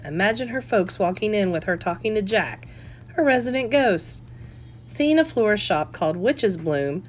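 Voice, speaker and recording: A woman reading a novel aloud as an audiobook, in an expressive storytelling style. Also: mid-range voice, a little hiss or hum. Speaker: audiobook reader.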